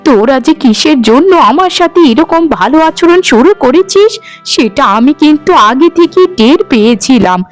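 A woman voicing a stern, shrewd old mother-in-law in a cartoon, scolding and unmoved by flattery. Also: high voice, normal speed, faint music behind. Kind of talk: cartoon voice acting.

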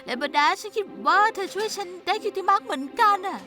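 Casting: a sweet, very high and bright-toned young pony for a cartoon dub, faint music behind. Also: female voice, lively intonation, quick pace, heavily acted. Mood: tender.

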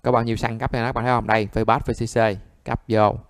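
A man's voice: medium pitch, little pitch movement, fast talker, no echo. A man giving a conversational step-by-step repair tutorial, often checking that listeners follow. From Vietnam. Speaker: phone repair instructor.

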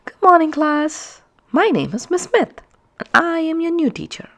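A woman voicing a brisk, unflappable female schoolteacher in a children's storybook, politely addressing others with composure. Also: extremely high pitch, animated, pitched above the performer's own voice. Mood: greeting.

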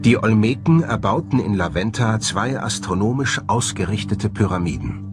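A man's voice: lowish voice, expressive, normal speed. A man presenting a documentary with scripted narration. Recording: music bed under the voice. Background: background music.

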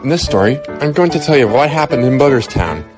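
Man narrating a story over loud music. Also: mid-range voice, sing-song, fast. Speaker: storytelling host.

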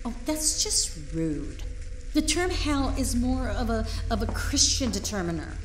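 A woman's voice: on the high side, big pitch swings, a little slow, a little hiss or hum. A woman playing a breezy, unflappable underworld restaurant hostess with chirpy customer-service politeness in an audio comedy sketch. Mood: mildly offended. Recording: music behind the voice.

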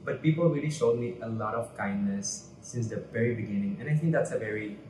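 A man's voice: on the low side, fairly quick. A man in his twenties from Cuba, talking earnestly as an interview guest. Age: 20s. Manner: earnest and animated, gestures while talking. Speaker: interview guest, pageant candidate. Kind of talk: casual interview chat. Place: bright indoor room. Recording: roomy echo, mic at a distance.

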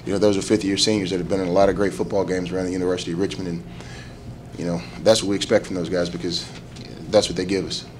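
A man in his thirties speaks as a football coach at a postgame press table. He talks fast and halting, with a low voice. The recording carries clear background noise. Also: level tone. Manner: quick, halting, many "you know" fillers. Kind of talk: postgame press conference answers. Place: press room before a sponsor backdrop. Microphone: table mic in front.

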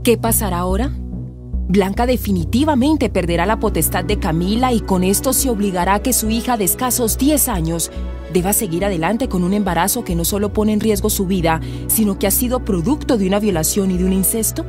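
A woman's voice: mid-range voice, fairly quick. A woman with a Colombian accent giving dramatic scripted narration as the narrator of a radio serial. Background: music.

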